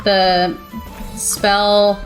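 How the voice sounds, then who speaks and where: A woman playing a tabletop game, talking quickly and joking about herself. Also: medium pitch, level tone. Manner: quick, self-mocking, joking. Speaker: player. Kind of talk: casual tabletop play. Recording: clear background music.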